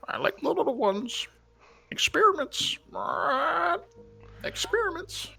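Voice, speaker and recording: A man's voice: very high-pitched, big pitch swings, slow, gravelly, sharp tone, soft background music, pitched above the performer's own voice, full character voice. A man voicing a creepy man who is obsessed with experiments and mutters and hisses his words. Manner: hissing and muttering, drops to a whisper.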